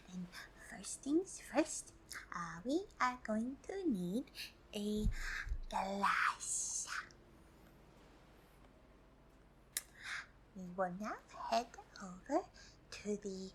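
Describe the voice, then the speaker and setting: A woman voicing a friendly, curious alien in a whispered ASMR roleplay, halting and politely formal, in a soft breathy voice. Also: medium pitch, a little hiss or hum. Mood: gentle, instructive. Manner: halting, hesitant, politely formal, third-person self-reference. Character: friendly curious pink female alien host, shy and hesitant.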